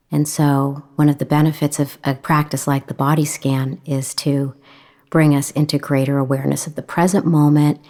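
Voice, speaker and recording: Female meditation guide: deep-set register, very unhurried pace, gentle measured delivery with generous pauses. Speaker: meditation instructor. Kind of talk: guided meditation, calm instruction.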